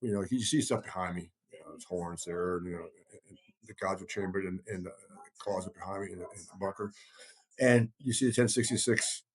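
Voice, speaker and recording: A man co-hosting a podcast, chatting casually in a rambling, halting way, often circling back on his words. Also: sits low, husky. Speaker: podcast co-host, heathen group leader.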